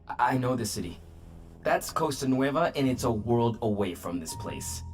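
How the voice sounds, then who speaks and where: An actor playing a bitter, haunted former smuggler in a video game cutscene, telling grim war stories. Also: male voice, medium pitch, expressive, normal speed, slight background noise, lightly acted.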